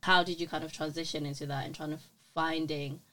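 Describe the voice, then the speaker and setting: A woman's voice: low-pitched, flat delivery, fairly quick, fairly bright tone. A young adult woman hosting a podcast, chatting enthusiastically with her guest and leaning on "like" as she talks. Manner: enthusiastic, fills with "like". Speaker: podcast host. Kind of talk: casual interview chat.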